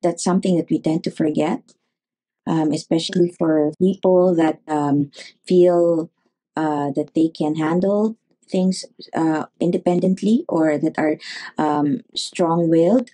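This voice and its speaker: A woman, a neurologist guest, giving advice in an interview, speaking in a measured way with many pauses and fillers. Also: low-pitched, steady tone, mellow, low-quality recording.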